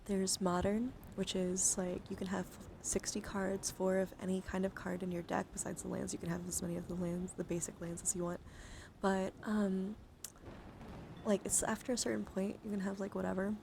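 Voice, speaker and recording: A woman hosting an ASMR livestream, chatting softly and often slipping into a whisper with careful, deliberate enunciation. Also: mid-range voice, little pitch movement, creaky. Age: adult, over 18. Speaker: streamer host. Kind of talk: soft ASMR livestream chat. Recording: noticeable background noise.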